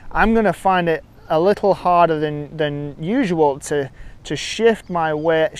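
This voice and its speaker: A man with a smooth, clear voice pitched a little high teaches golf out on the course. He explains each step of the technique in an instructional way, often pausing to restate his phrases.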